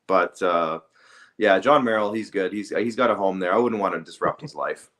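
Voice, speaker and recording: A man's voice: low voice, normal speed, fairly bright tone. A man co-hosting a sports podcast, talking casually and conversationally with some hedging. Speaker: hockey podcast co-host.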